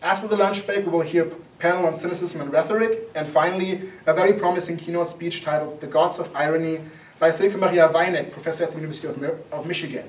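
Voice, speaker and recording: A young man opens an academic conference, speaking earnestly in a fairly high voice. He quotes scholars in a lecturing way. He sounds distant from the mic in an echoey lecture hall. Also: fairly even intonation, fast talker. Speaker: conference organizer. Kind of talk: opening remarks at an academic conference.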